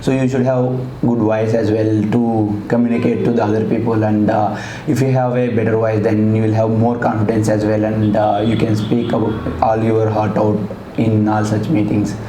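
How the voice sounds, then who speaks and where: Low, even-toned Indian man, echoey noisy room. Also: normal speed, few pauses. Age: 20s. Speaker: client giving a testimonial. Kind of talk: interview answers. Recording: echoey room with background noise.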